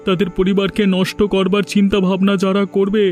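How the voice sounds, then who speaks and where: Man acting a high-voiced indignant young villager. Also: completely flat delivery, fairly quick, darkish tone, soft background music, lightly acted. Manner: emphatic, indignant gossip. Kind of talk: cartoon voice acting.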